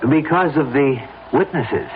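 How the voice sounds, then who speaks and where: An American actor playing a cool, persuasive conspirator planning a murder in a vintage radio drama, insistent and smooth-talking. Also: male voice, a little high, a little slow, natural voice. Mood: confident, scheming. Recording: narrow, noisy vintage radio recording.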